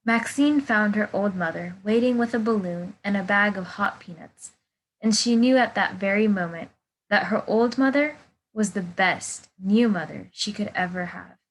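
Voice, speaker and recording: A woman's voice: mid-range voice, normal speed, low-quality recording. A woman volunteer reading a children's picture book aloud over a video call. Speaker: volunteer storybook reader. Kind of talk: children's storybook read-aloud.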